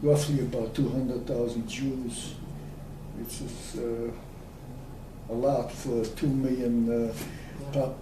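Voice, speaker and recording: A man, recalling his life in an oral history interview, slow and hesitant with many pauses. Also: medium pitch, level tone. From Austria. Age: 90s. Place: room at a community center. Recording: echoey room, distant mic, faint hiss.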